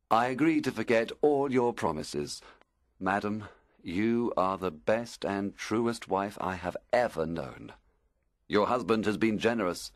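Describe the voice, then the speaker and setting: A lovesick young gentleman from a storybook, acted out by a man. He pleads earnestly and ardently in a fairly low voice with lively, wide swings of pitch, recorded very dry. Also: no echo. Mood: noble, earnest.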